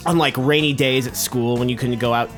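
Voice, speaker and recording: A man in his thirties hosting a casual comedy podcast, chatting informally and swearing freely. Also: mid-range voice. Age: 30s. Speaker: podcast host.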